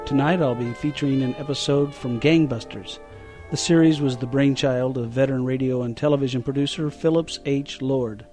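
A man's voice: medium pitch, moderate pace, dark tone, soft background music. A man hosting a podcast and interviewing in a conversational style. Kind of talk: conversational radio-history interview.

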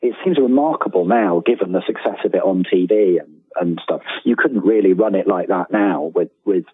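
A man's voice: sits low, moderate pace, breathy edge, no room sound. A middle-aged man talking casually as an interview guest, rambling, self-deprecating and enthusiastic, over a thin phone-like line.

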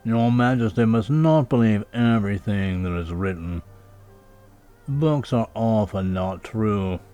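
A man's voice: lowish voice, animated, a little slow, warm tone, clean tone, soft background music. A man voicing a grand royal butler in a storybook, formal, stately and deferential. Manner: stately, formal, self-important.

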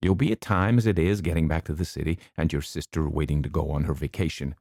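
A man voicing a brisk, bossy middle-aged woman who waves away the fuss, in an audiobook reading. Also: a bass voice, steady tone, darkish tone, no echo, studio quality. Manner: brisk, dismissive.

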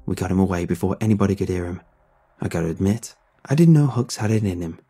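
A man's voice: sits low, animated, fast, mellow, husky, faint music behind, heavily acted. A man voicing a cruel teenage delinquent who taunts with gleeful menace. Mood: taunting.

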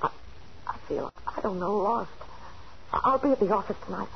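An actress playing a lonely, desperate unfaithful wife in a halting, pleading way, in a 1940s radio thriller. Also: female voice, mid-range voice, gravelly, lightly acted. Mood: pleading, lost. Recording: muffled, noisy 1940s radio recording.

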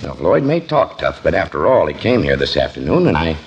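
An actor playing an earnest, cautious, kindly police chief in 1940s radio comedy, speaking hesitantly on an old recording. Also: male voice, big pitch swings, fairly quick, dull audio, slight background noise, exaggerated voice. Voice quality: deep, gravelly.

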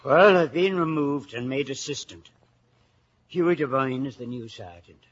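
Husky, gruff older Irish father. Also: medium pitch, a little slow. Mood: indignant. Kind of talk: 1950s radio drama acting. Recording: muffled vintage radio broadcast.

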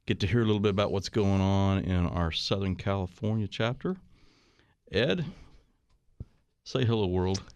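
A man's voice: sits low, moderate pace, pauses often, a little mellow, clean tone, dry recording. A man hosting a casual talk radio show in a conversational, jovial and teasing way. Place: radio studio.

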